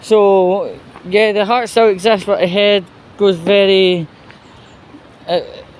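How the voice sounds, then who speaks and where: A man giving casual, opinionated news commentary in a rambling, halting, sweary way. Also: high voice, steady tone. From United Kingdom. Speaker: host of his own commentary vlog.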